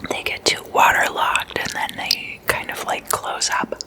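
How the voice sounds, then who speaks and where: An ASMR host whispering in an intimate slumber-party chat, addressing listeners as guests and softly repeating words. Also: measured pace. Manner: repeats single words in soft trailing echoes.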